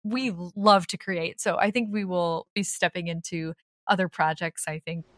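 A woman's voice: lowish voice, fairly even intonation, moderate pace, fairly bright tone, dry recording, clean studio sound. A woman chatting casually as an interview guest, enthusiastic and often repeating words as she goes. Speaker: podcast guest, audio drama creator.

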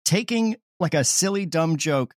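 A man's voice: fairly high pitch, lively intonation, measured pace, dry recording, clean studio sound. A man who is a podcast creator, explaining his work casually and enthusiastically as an interview guest.

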